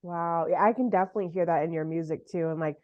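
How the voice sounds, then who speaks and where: A woman's voice: fairly low pitch, fairly even intonation, quick pace. A woman hosting a casual music interview, speaking in an encouraging, affirming way. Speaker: interviewer, host. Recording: dull, lo-fi sound.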